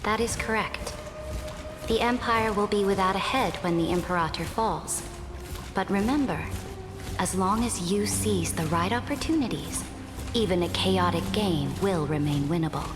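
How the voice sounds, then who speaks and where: A woman playing a proud, shrewd imperator in a video game, speaking in a measured, formal, authoritative way. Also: medium pitch, lightly acted. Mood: solemn, defiant. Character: commanding female imperator, proud, shrewd, resolute. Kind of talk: dramatic game dialogue. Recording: reverberant hall, music behind.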